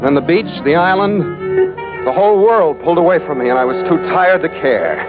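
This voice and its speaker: An actor playing a tough, cynical sea captain, terse and deadpan, in 1940s radio noir style. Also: male voice, fairly high pitch, brisk, phone-quality sound. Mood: grim, wry. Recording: band-limited vintage radio recording with music.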